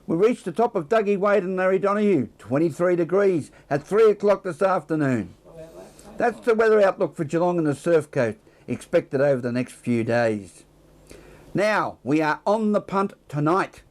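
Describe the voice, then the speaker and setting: Male Australian news presenter, voice on the high side, scripted bulletin read with casual asides. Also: expressive, moderate pace. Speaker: news presenter reading the weather.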